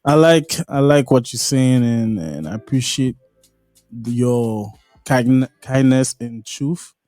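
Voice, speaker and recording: A man with a Haitian accent hosts a podcast interview, speaking slowly and earnestly, often restarting his sentences. His voice is dark and mellow. The sound is dry and close, with faint music underneath. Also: medium pitch, no room sound. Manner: earnest, halting phrasing with restarts. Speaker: podcast host. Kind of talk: casual interview.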